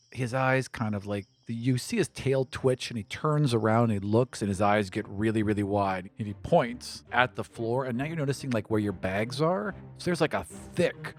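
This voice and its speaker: A man narrating as game master of a tabletop game, in a loose, storytelling way full of casual asides. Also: medium pitch.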